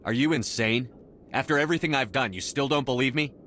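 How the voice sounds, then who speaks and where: A man's voice: medium pitch, fast, fairly bright tone, slight background noise, close to an everyday voice. A man playing a resolute military commander in a video game, firm and direct. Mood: indignant. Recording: music behind.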